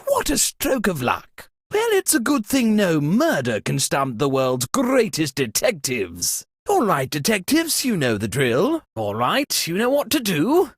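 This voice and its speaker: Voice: mid-range voice, wildly swooping pitch, measured pace, few pauses, husky, no room sound, clean studio sound, full character voice. An actor playing an anxious, exasperated, posh party host in a murder mystery video game, with a theatrical, fretful delivery. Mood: hopeful, flustered. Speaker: game character voice lines. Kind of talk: theatrical comedic game voice acting.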